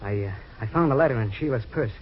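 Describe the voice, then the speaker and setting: An actor playing a nervous, paranoid husband in a 1940s radio drama, stammering and pleading. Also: male voice, mid-range voice, animated, fast, a little hiss or hum, lightly acted. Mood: frantic. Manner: anxious, stammering. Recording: dull lo-fi old radio recording.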